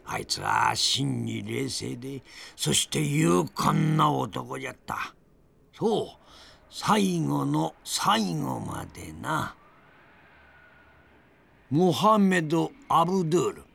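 An actor playing a spirited old grandfather in an audio drama, narrating his memories with theatrical, deliberate pauses and a gravelly voice. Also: on the high side, expressive, a bit bright, heavily acted. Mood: fond, proud. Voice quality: gravelly, creaky. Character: spirited old grandfather reminiscing fondly about past adventures.

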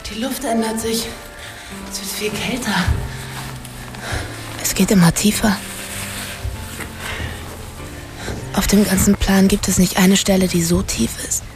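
A woman's voice: medium pitch, steady tone, moderate pace, pauses often, music in the background, lightly acted. An actress playing a determined young woman in a radio drama, earnest, probing and a little tentative. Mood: tense wonder. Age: young adult. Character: determined young woman searching for hidden truth.

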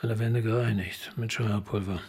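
Husky, terse detective sergeant. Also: male voice, fairly low pitch, fairly even intonation, darkish tone. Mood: terse command. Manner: brisk, dry, giving orders. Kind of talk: German radio crime drama acting.

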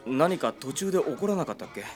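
An actor playing a friendly young man who speaks gently and casually, in a radio drama. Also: a little high, sing-song, quick pace, soft background music. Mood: gentle.

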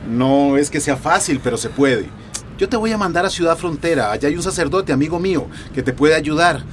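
A man's voice: a little high, expressive, a little fast, a little hiss or hum, close to an everyday voice. An actor with a Latin American accent playing a fatherly, middle-aged police chief in a radio drama, reassuring and authoritative. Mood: firm, reassuring. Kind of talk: Spanish-language radio drama acting.

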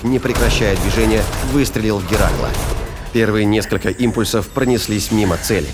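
A man reading scripted narration for a sci-fi audio drama. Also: lowish voice. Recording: studio reading over prominent music and effects.

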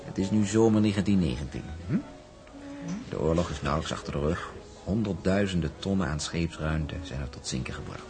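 A man's voice: sits low, fairly quick, close to an everyday voice. An actor playing a scheming, indulgent businessman in a radio drama, cajoling and condescending. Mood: patronising explaining. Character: scheming, indulgent older businessman doting on his sweetheart. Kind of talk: Dutch radio drama acting. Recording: music behind the voice.

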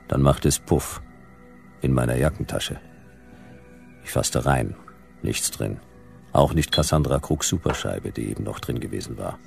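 An actor playing a world-weary, wisecracking private detective, narrating a radio drama in a dry, laconic, ironic way. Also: male voice, extremely low pitch, a little mellow, heavily acted. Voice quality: gravelly.

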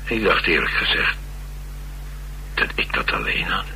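A man's voice: low voice, sing-song, unhurried, gravelly, pushed and bright, exaggerated voice, rough recording. A man with a Netherlands Dutch accent playing a blunt, world-weary chauffeur in a radio drama, confiding and sardonic. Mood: astonished, confiding. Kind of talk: radio drama acting, colloquial storytelling. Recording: radio drama with faint hum and noise.

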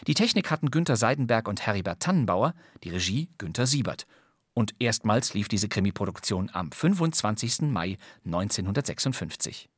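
A man's voice: on the low side, very animated, brisk, husky, dry recording, clean studio sound. A man hosting scripted, wry presentations of old radio crime plays in a playful, theatrical storytelling way. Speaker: podcast host.

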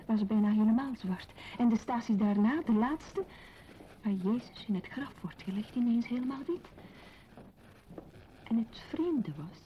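An actress playing a reflective, intense woman in a literary radio play, earnest, measured and intimate, on a dull recording. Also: on the high side, slight background noise. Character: reflective, intense woman confiding memories and love.

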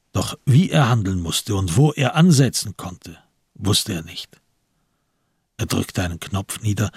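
A man with a gravelly, husky voice giving literary narration in a radio crime drama. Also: low-pitched, no room sound, clean studio sound.

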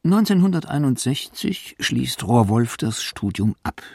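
A man's voice: medium pitch, sing-song, a little slow, creaky, a little mellow, no room sound, clean studio sound. A man narrating a radio documentary from a script.

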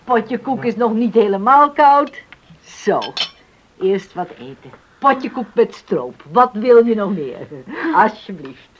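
An actress playing a firm, motherly older village woman in a Dutch radio play, husky and admonishing. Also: on the high side, lively intonation, slow pace, highs cut off, slight background noise.

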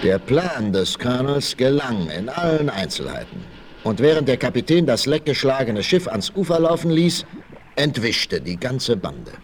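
A man's voice: mid-range voice, sing-song, normal speed. A man narrating a scripted adventure story for a 1960s radio play. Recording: slightly noisy old vinyl record.

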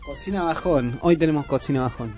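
A man's voice: a little high, huge pitch swings, a little fast, darkish tone, soft background music. A man with a Buenos Aires area Argentine accent, chatting casually as a co-host on live radio.

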